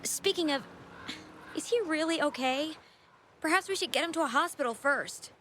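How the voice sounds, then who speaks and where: A woman's voice: high-pitched, lively intonation, normal speed, bright, forceful tone, slight background noise. An actress playing an eager, deferential young townswoman in a video game, hesitant and earnest. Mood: concerned. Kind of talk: scripted game dialogue. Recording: game music behind voice.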